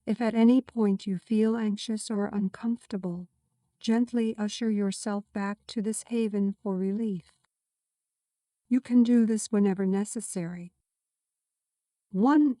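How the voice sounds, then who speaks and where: A woman reading a scripted guided meditation as a guide, giving soothing, measured instructions. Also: medium pitch, steady tone, dark tone, dry recording, studio quality.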